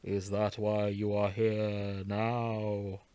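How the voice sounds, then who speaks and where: An ancient warrior matriarch's spirit, acted out by a man, solemn and stately. The delivery is very slow and grand, with weighty pauses, as in a hyperdramatic story reading. The sound is dry. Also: on the low side, little pitch movement, dark tone, clear, resonant tone, no echo, voice pushed down. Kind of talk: hyperdramatic fan fiction reading.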